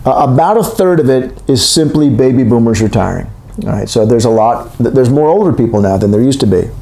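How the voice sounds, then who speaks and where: A man in his sixties lectures on economics in a rambling, casual way, stopping to correct himself as he goes. His voice sits at a middle pitch for a man. The recording carries a noticeable echo from the room. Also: animated, fairly quick, vocal fry, dark tone. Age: 60s. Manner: rambling, self-correcting, conversational. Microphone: recorded for a video lecture. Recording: echoey room.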